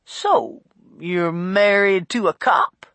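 A woman's voice: mid-range voice, takes it slowly, fairly bright tone, no room sound. A woman voicing a portly old male cab driver in an audiobook, garrulous and folksy, with colloquial, ungrammatical speech. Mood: chatty, nosy.

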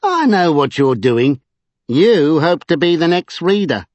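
A man's voice: on the high side, sing-song, measured pace, a little mellow, no room sound, highs cut off, voice pushed up. A man voicing a gleeful, teasing vagabond who talks in riddles in a storybook reading. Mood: mocking, teasing. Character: gleeful, capering, mischievous vagabond man, a living book of magic.

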